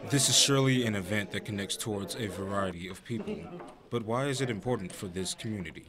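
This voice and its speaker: A young man reporting a student news story in a measured voice-over. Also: on the low side, crowd noise.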